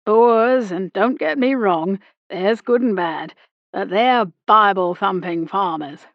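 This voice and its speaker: A woman voicing an old British army captain, brusque, with a clipped, slow and emphatic manner. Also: medium pitch, no room sound, muffled recording. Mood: blustering.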